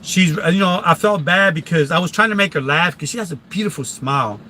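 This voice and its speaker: A man with a Philadelphia accent rants casually on a live stream. His voice sits high and bright. He is emphatic and repetitive and often restarts his sentences, with faint traffic noise behind him. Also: a little fast. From Philadelphia, USA.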